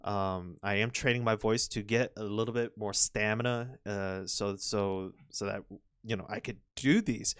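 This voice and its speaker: A young man commenting on a Go match, chatty and rambling, his voice a little hoarse from overuse. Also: fairly low pitch, fairly even intonation, measured pace, a bit bright, no room sound, clean studio sound. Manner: chatty, rambling, frequent restarts and fillers. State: slightly hoarse, recovering voice. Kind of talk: casual game commentary.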